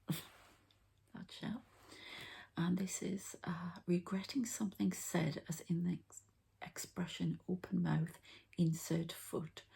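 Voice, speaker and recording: A woman doing a whispered ASMR card reading as an energy healer, in a hushed, breathy, creaky voice, unhurried and murmuring to the listener. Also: low voice.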